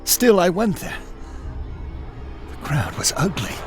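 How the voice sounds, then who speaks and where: A remorseful Peter played by a man tells his story in halting, stammering first person. His voice is fairly high and swings widely. Strong music plays underneath. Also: wildly swooping pitch, heavily acted. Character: remorseful apostle Peter, a grown fisherman. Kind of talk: first-person dramatic narration.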